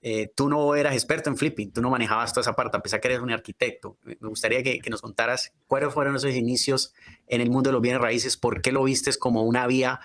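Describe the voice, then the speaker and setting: A man's voice: mid-range voice, steady tone, fast, a bit bright. A man hosting a podcast in a conversational style, addressing guest and listeners directly. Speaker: podcast host. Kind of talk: conversational podcast interview and promotion.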